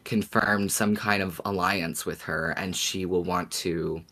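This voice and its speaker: A man with a somewhat low-pitched voice speaks as a podcast co-host. His delivery is casual and conversational, like someone talking through ideas with a fellow fan. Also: steady tone, unhurried, pushed and bright.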